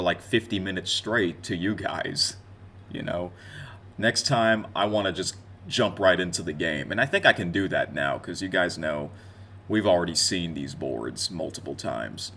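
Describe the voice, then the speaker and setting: A man's voice: deep voice, fairly bright tone, a little hiss or hum. A man in his twenties talking casually and off the cuff to his viewers, in a rambling, conversational year-in-review vlog style. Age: 20s. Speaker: gaming content creator and streamer.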